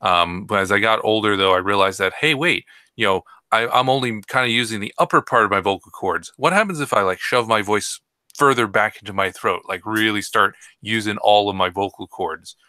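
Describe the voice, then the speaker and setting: A man with a lowish, very bright voice, talking loosely with lots of ums and likes. The sound is dry and close. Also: a little fast, smooth, no room sound. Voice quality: trained voice, speaks from the throat. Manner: rambling, hesitant, many fillers. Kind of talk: casual chat.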